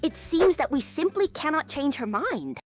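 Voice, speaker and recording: Bubbly young dancer, upbeat, high bouncy voice, played by a woman, faint music. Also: sing-song, moderate pace. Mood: cheerful.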